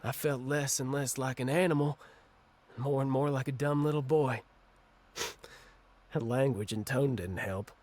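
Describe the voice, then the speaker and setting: A man acting an angry, ashamed younger brother in a video game cutscene, with a halting, bitter, confessional delivery. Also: medium pitch, fairly even intonation, a little mellow, close to an everyday voice. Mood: ashamed, earnest. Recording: music under the voice.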